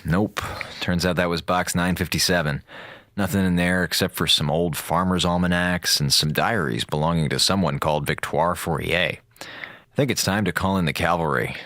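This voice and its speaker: An actor playing a laid-back, wisecracking space station officer in a radio drama, with casual, sardonic delivery. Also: male voice, low voice, fairly even intonation, moderate pace, fairly bright tone, no echo, clean studio sound. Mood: musing, curious. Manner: casual, sardonic, muttering asides.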